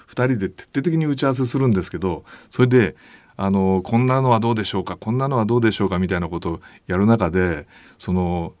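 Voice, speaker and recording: A man speaking as a podcast guest, explaining things politely and haltingly as he searches for words. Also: lowish voice, darkish tone, no room sound. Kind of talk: conversational explanation.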